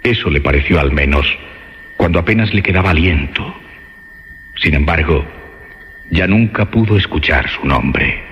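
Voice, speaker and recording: A man narrating a horror radio drama theatrically, with ominous, dramatic emphasis and pauses. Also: extremely low pitch, animated, a little hiss or hum. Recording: dull lo-fi radio sound. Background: music.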